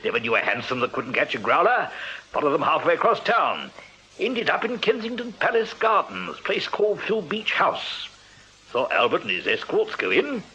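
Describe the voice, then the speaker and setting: An actor playing a pompous retired British army major in a period radio drama, brisk and blustering, with clipped phrasing. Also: male voice, on the high side, wildly swooping pitch, pushed and bright, full character voice. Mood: brisk reporting. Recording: noisy, slightly dull radio drama.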